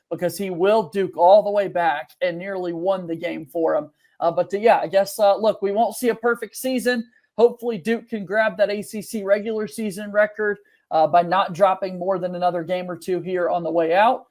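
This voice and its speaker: A man with a notably high voice gives casual game analysis. He talks as a regular panelist on a sports show. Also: a little mellow.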